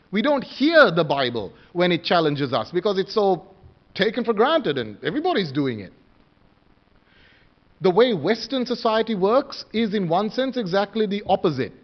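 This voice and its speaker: A man from Sri Lanka delivers a sermon in a high, light voice, earnest and searching. He asks questions of his listeners and often restarts a phrase mid-thought. The recording sounds a little dull and muffled. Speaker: preacher.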